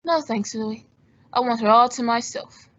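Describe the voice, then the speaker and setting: A woman playing a suave, wry gentleman sleuth, polished and teasing, in an old-time radio detective drama. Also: fairly high pitch, moderate pace, dull audio, a little hiss or hum, natural voice. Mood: charming.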